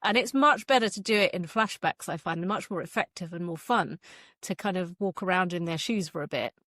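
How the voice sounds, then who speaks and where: A woman's voice: fairly low pitch, fairly quick, fairly bright tone, no room sound, studio quality. A woman being interviewed as a guest author, chatting casually with a wry, self-deprecating manner. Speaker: guest novelist.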